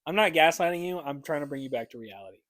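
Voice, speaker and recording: A man's voice: fairly high pitch, expressive, quick pace, fairly bright tone. A young man as a podcast guest, joking casually with slangy, sweary banter.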